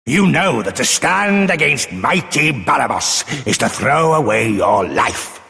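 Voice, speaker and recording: A man's voice: lowish voice, gravelly, fairly bright tone, exaggerated voice. A man voicing a huge, arrogant, menacing archfiend in a video game, pompous and grandiose, in a long cavernous reverb. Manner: pompous, archaic, grandiose threats. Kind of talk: theatrical video game villain acting.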